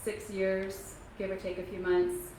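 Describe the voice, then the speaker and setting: Woman in her 30s from kentucky, quiet halting talk w lots of ums, big echoey library room. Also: medium pitch, flat delivery. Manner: soft-spoken, halting, frequent ums. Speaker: author giving a library talk. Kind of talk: casual talk to an audience. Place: public library meeting room. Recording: echoey room, faint noise.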